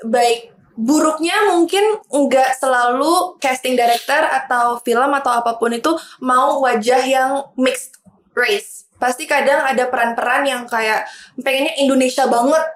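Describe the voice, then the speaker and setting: Young female guest, high voice, easy laugh, switches languages, echoey room. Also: measured pace. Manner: laughs easily, mixes in English. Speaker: podcast guest, actress. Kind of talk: casual podcast chat.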